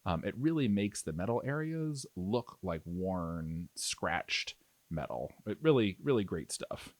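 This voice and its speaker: A man with a low voice and animated melody talks through a craft tutorial in a casual, explanatory way. The sound is close and dry, with no room around it. He comes across as an enthusiastic hobby instructor chatting as he demonstrates. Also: a little slow, no echo. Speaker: miniature painting instructor.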